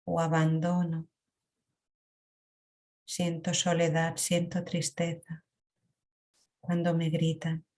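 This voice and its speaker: A woman leading a guided emotional meditation in a calm, reflective way. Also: deep voice. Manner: slow, calm, reflective guidance with long pauses.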